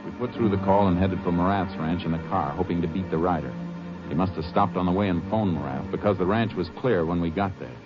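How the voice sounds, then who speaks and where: Male actor as Texas Ranger lead, low, terse, authoritative, vintage radio drama, muffled noisy recording. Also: fast talker, over loud music, natural voice. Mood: matter-of-fact narration. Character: steady, shrewd Texas Ranger investigator, also narrating. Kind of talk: 1950s radio crime drama acting.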